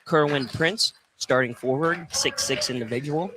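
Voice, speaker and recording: A man doing live play-by-play basketball commentary on the radio, speaking off the cuff and somewhat haltingly. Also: on the high side, noisy background.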